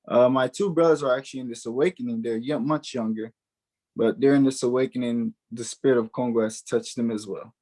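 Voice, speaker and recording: A man's voice: medium pitch, fairly even intonation, a little fast, mellow. A man in his early twenties, born in Haiti and raised in the United States, giving a personal spiritual testimony in an earnest way, repeating key words for emphasis.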